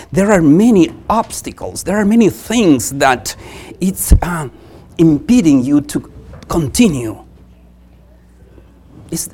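Slow-paced preacher with a Spanish accent, animated pitch. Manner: halting, repeats phrases with earnest emphasis. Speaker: visiting preacher. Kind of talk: church sermon.

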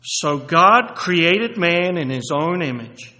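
A man giving a sermon and reading scripture aloud, halting with many fillers and restarts, then growing louder and more emphatic as he reads. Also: fairly high pitch, lively intonation. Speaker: lay preacher. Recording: echoey hall reverb.

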